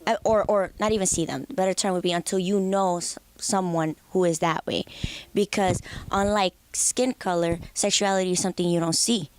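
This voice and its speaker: A woman's voice: on the low side, fairly even intonation, fairly quick, darkish tone, smooth, low-quality recording. Candid young woman from the Dominican Republic. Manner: candid, reflective, with frequent restarts. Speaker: guest musician. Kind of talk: interview conversation.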